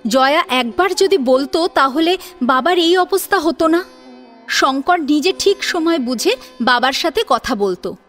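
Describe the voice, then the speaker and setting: Female narrator for a family drama serial: high voice, very lively intonation, expressive scripted delivery. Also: unhurried. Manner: expressive storytelling with rhetorical questions. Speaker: narrator of an audio story serial. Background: soft sitar and plucked string music.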